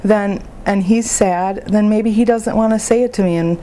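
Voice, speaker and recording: A woman playing a grieving young mother in a support phone conversation, speaking haltingly and plainly about her feelings. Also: medium pitch, fairly even intonation, dark tone, close to an everyday voice, rough recording. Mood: sad, hesitant. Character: grieving young mother, sad, exhausted, openly hurting. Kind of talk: role-played counseling phone conversation.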